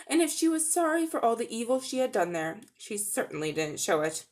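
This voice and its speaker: A woman's voice: fairly high pitch, big pitch swings, fairly quick, a little mellow. A woman reading scripted storybook narration in a dramatic reading style.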